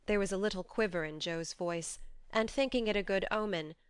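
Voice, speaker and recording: A woman reading a novel aloud as an audiobook narrator, in expressive scripted storytelling. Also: medium pitch, fairly quick, clear, resonant tone, no room sound, studio quality.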